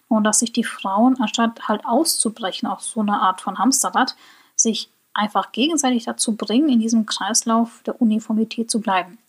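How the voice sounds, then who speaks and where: A woman from Germany hosting a solo podcast, giving her opinions in a reflective way and slowing down on key points. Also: fairly high pitch, slightly rough. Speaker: podcast host.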